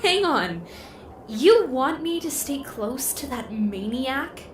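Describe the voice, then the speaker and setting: A woman's voice: sits high, big pitch swings, slow, background noise, full character voice. A woman playing a wary, outspoken assistant in a sci-fi audio drama, blunt and questioning. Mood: alarmed. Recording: echoey, clear music behind.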